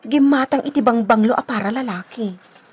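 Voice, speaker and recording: A woman acting as a nosy, chatty Filipino neighbourhood friend in a radio drama. Her high voice swings in a lively way, with clear noise behind it. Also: normal speed, lightly acted. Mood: gossipy.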